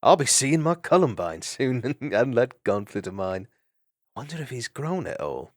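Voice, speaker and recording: A man voicing a cheeky young male mouse thief who laughs and yells playful insults. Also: medium pitch, sing-song, moderate pace, no echo, clean studio sound, voice pushed up. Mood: cheerful. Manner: boisterous, laughing, insult-hurling.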